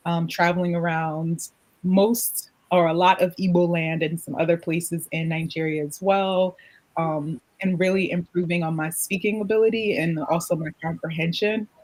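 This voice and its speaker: A woman's voice: fairly low pitch, moderate pace. A woman guest in a podcast interview, speaking casually and expressively, laughing as she tells her story. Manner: casual, expressive, laughs while telling stories. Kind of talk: conversational interview.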